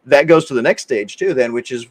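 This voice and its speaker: A man co-hosting a podcast in casual conversation, agreeable and thoughtful, often chiming in with quick affirmations. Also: mid-range voice. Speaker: podcast co-host.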